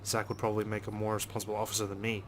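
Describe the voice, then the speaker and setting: Male let's play reader for story-game narration: deepish voice, rapid delivery, music underneath. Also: monotone. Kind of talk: narration read aloud from a visual novel.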